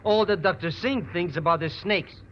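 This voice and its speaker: An actor playing a wheedling, shifty little schemer in 1940s radio style. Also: male voice, sits high, moderate pace, slight background noise, lightly acted. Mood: chatty, complaining. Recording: dull old radio recording, music behind.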